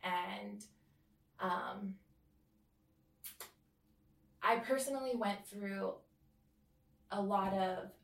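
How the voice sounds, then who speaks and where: A woman with a mid-pitched voice speaks as an interview guest, sharing personal history in casual, hesitant phrases. She sounds set back from the microphone, with noticeable room echo around her voice. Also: extremely slow, creaky, long pauses. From Hawaii, California and American Samoa. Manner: self-conscious, hesitant, laughs easily. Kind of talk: candid personal storytelling in casual chat. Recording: echoey room, distant from mic.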